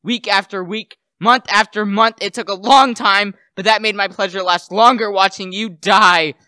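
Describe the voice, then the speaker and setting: A man's voice: high-pitched, fairly even intonation, moderate pace, pushed and bright, clear, resonant tone, dry recording, studio quality. A young man playing a bitter, gloating widower who poisoned his wife, in a theatrical, brooding radio play monologue. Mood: gloating, hateful. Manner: melodramatic, brooding, declamatory.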